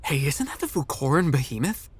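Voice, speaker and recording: A man's voice: on the high side, sing-song, brisk, slightly rough. A man playing an earnest, admiring young knight trainee in an audio drama. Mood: curious.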